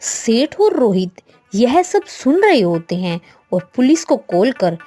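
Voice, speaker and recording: A woman's voice: fairly high pitch, very animated, slow, warm tone, husky, faint music behind. A woman narrating a scripted storybook tale.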